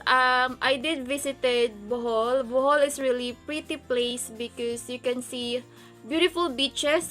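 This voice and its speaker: A Filipino woman whose first language is Visayan talks in a bright, fairly high voice. She goes slowly and hesitantly, as in a casual question-and-answer vlog, with music playing behind her. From Philippines. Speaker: video blogger.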